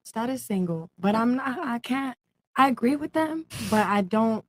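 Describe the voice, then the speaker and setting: A woman of twenty-five from South Florida, chatting casually as a podcast guest in a relaxed, drawn-out way. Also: on the high side, slight background noise. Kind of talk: casual chat.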